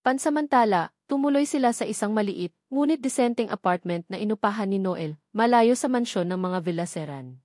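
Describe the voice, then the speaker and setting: A woman's voice: mid-range voice, darkish tone, dry recording. Woman narrating drama, dry sound. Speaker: audiobook narrator. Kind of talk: scripted dramatic narration of a romance story.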